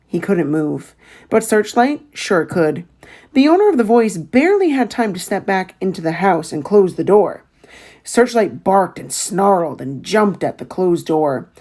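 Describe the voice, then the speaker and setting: A woman narrating a children's novel aloud in an expressive storytelling style. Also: fairly low pitch. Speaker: audiobook reader.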